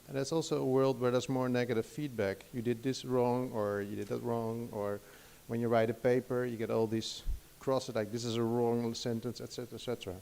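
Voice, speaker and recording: A middle-aged man with a Dutch accent gives a lecture in English. His voice is gravelly and mellow at a middle pitch, and he hesitates often, restarting sentences and filling gaps with "uh". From Netherlands. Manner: hesitant, many restarts and fillers. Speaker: guest lecturer. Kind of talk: academic lecture.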